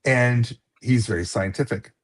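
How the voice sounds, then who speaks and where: A man's voice: medium pitch, measured pace. A man who writes books, chatting casually as a guest on a live stream, rambling with many fillers and restarts and a slight lisp. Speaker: author guest.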